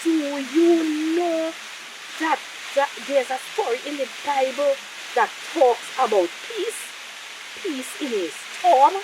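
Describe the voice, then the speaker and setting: A woman's voice: sits high, slow. A woman hosting a children's story time, coaxing and questioning young listeners, recorded outdoors. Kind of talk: animated storytelling chat with children. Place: outdoors by a big tree. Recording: hissy, very noisy outdoor recording.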